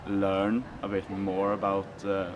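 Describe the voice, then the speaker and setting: A man's voice: sits low, monotone, slow pace, background noise. A young man, speaking in a casual interview and hesitating often with filler sounds. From Sweden. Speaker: youth rally participant. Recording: outdoor recording with loud music.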